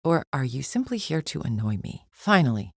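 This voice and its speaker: A woman's voice: low voice, sing-song, normal speed, vocal fry, breathy edge, no echo, studio quality, heavily acted. An actress playing a proud, disdainful alien arena champion in a video game, a cold warrior woman with stiff, formal, haughty diction. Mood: disdainful, impatient. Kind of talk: scripted video game character lines.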